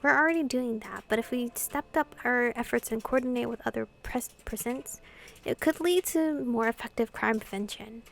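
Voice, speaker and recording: A woman voicing an earnest, soft-hearted young policewoman in a visual novel, hesitant and heartfelt. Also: fairly high pitch, moderate pace, gravelly. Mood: earnest, downcast.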